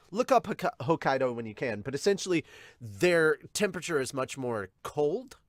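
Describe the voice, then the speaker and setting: Animated, emphatic man hosting a podcast. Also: a little high, normal speed, dry recording, studio quality. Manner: explanatory, emphatic, swears casually. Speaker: podcast host. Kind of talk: casual chat, manga reaction.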